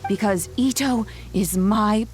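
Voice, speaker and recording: A kindly old grandmother from a video game, acted by a woman, with an ordinary mid-range pitch. She speaks in a measured, affectionate, gently chiding manner, with faint music underneath. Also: lightly acted. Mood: proud, fond. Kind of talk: scripted game character dialogue.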